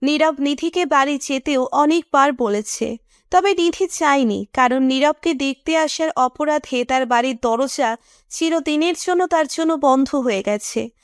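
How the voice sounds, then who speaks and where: A woman narrates a scripted romance. Her voice is high, and the recording is dry and close with hardly any room sound. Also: measured pace, no echo.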